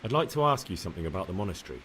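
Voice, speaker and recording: Polite curious novice in a medieval game, talks really quick, lively, noisy behind. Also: male voice, low-pitched. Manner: polite, inquiring. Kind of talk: video game dialogue acting.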